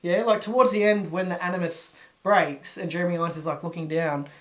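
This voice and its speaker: A man's voice: sits high, steady tone, fairly quick, echoey room, rough recording. A man co-hosting a podcast, giving blunt, critical views in casual film chat. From Australia. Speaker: podcast co-host.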